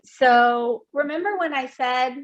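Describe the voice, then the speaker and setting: A woman's voice: high voice, slow, muffled recording. A woman from Puerto Rico, a guest in an interview, talking earnestly with many restarts and fillers. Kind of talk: candid interview conversation.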